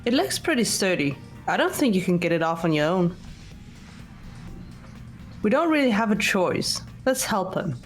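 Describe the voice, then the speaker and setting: A woman's voice: mid-range voice, very animated, moderate pace, long pauses, close to an everyday voice. A woman voicing a reserved, analytical teenage girl in a fan-voiced visual novel, speaking tersely and matter-of-factly. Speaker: voice actor in fan game playthrough. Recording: music under the voice.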